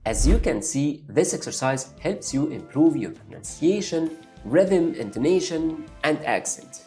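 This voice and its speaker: A man's voice: fairly high pitch, warm tone. A young man whose first language is Arabic, giving an upbeat step-by-step English lesson straight to the viewer. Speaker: English learning YouTuber. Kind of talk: direct-to-camera lesson.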